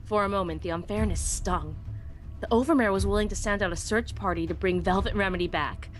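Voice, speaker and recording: A woman's voice: mid-range voice, brisk, soft background music. A woman narrating a scripted first-person story dramatically, as in a fan audiobook.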